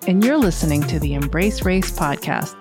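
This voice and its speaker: A woman's voice: deep voice, lively intonation, moderate pace, music in the background. A middle-aged woman presenting and interviewing as co-host of a podcast.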